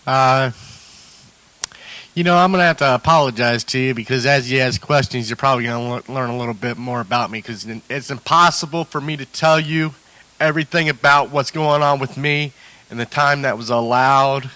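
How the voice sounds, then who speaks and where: A man's voice: medium pitch, fairly even intonation, normal speed, pushed and bright, low-quality recording. A man on a recovery panel, talking candidly about his struggles with many restarts and fillers. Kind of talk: candid personal testimony.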